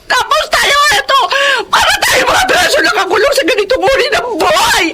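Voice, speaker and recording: A woman's voice: very high-pitched, sing-song, normal speed, shouting, heavy background noise. A woman playing an overwhelmed, bitter young Filipino mother in a radio drama. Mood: anguished, shouting. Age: young adult. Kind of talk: Tagalog radio drama acting.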